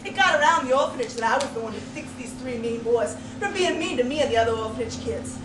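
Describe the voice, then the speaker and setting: A woman telling a comic tall tale as a speech contestant, theatrical and emphatic, with folksy phrasing and dramatic pauses. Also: on the high side, animated. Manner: folksy storytelling turns of phrase. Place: indoor speech contest room, distant microphone. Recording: roomy, noisy live recording from far off.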